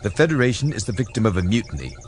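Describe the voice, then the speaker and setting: A man's voice: fairly low pitch, big pitch swings, racing, slight background noise, pitched above the performer's own voice. A man voicing a decisive starship captain for an audiobook, giving clipped orders and making earnest appeals. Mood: pleading, earnest.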